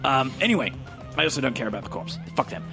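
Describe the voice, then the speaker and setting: A man's voice: medium pitch, sing-song, fast, creaky. A man playing a flustered, tired radio host in improvised tabletop roleplay, stammering and repeating himself as he rambles. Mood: weary, scattered. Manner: stammering, repeating words, scatterbrained. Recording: music bed under the voice.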